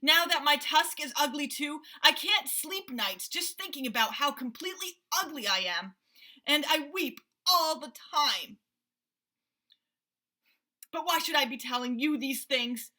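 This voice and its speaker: A woman voicing a grumpy, self-pitying old male rhinoceros, loud and complaining, in a storybook reading. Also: high-pitched, expressive, unhurried, pitched above the performer's own voice, heavily acted. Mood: sorrowful.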